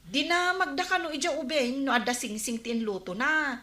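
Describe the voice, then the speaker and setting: High-voiced gossipy village woman, acted by a woman. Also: brisk, bright, forceful tone. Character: gossipy village woman bringing neighbourhood news. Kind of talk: Ilocano radio comedy drama acting. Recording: roomy, echoing radio drama recording.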